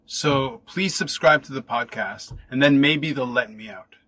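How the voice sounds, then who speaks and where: A man hosting a podcast, chatting casually and playfully with quick interjections. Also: mid-range voice, bright, forceful tone. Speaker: podcast host.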